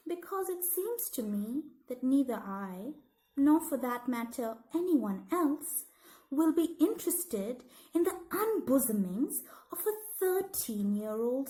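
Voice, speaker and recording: A woman voicing an eager, thoughtful thirteen-year-old schoolgirl in an expressive, deliberate read-aloud of her diary. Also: fairly high pitch. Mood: pensive, earnest.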